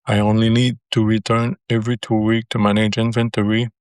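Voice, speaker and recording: Low, flat-voiced Québécois French man. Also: smooth, no room sound, clean studio sound. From Quebec, Canada. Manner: careful, halting non-native phrasing. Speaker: business seller. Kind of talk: interview answers.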